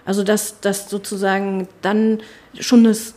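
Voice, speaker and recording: A middle-aged woman speaks in an interview in a voice of average pitch for a woman. She talks as a politician, thoughtfully and haltingly, with many fillers and restarts as she searches for her words. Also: level tone, darkish tone. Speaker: politician, spokesperson for family and education. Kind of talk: casual interview talk. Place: her constituency office.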